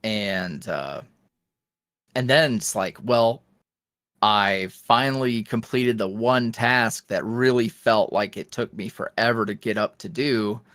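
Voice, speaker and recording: A man's voice: on the low side, slow pace, fairly bright tone, no room sound, clean studio sound. A man, an ASMR roleplay creator, chatting as a guest in a casual interview, rambling with many fillers and restarts.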